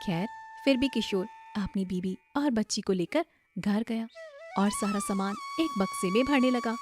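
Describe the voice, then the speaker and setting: A woman's voice: mid-range voice, lively intonation, moderate pace, over music. A woman narrating a scripted moral story as a storyteller.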